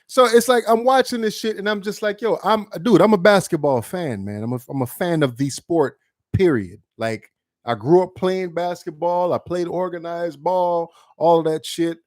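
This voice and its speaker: Man, podcast co-host, animated, emphatic, curses a lot, dry close mic. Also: high-pitched, moderate pace, no echo. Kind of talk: casual chat.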